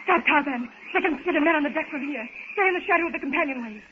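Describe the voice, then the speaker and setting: A woman's voice: high-pitched, quick pace, close to an everyday voice. An actress playing a refined, anxious but capable young woman in a 1930s radio serial, explaining things with patient urgency. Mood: cautious, explaining. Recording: dull, hissy 1930s radio recording.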